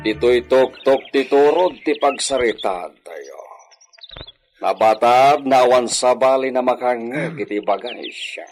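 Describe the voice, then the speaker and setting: An actor playing a scheming, treasure-hunting hacienda master in a radio drama, giving orders in a commanding, conspiratorial way. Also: male voice, medium pitch, a little slow, faint music behind, close to an everyday voice. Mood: secretive.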